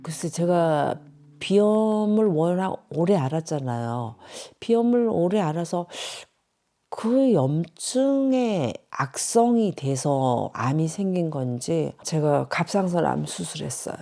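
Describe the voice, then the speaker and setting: A woman in her sixties, a patient, describing her symptoms in casual interview talk, earnest and halting as she searches for words. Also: low voice, lively intonation, mellow. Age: 60s.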